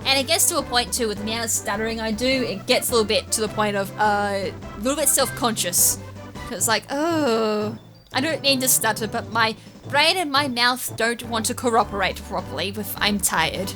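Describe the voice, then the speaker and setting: Female gaming host, slightly high voice, casual and rambling with an occasional stutter, clear music behind her. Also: fairly quick. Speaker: gaming YouTuber, series host. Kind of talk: casual video game walkthrough commentary. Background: game music.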